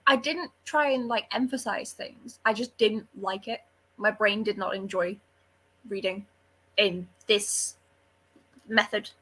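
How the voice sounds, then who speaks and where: A woman's voice: medium pitch, fairly even intonation, slow pace, sharp tone, slightly rough. A woman chatting casually and animatedly about books as a panellist, opinionated and informal. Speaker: book club readalong panellist. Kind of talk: casual book discussion chat.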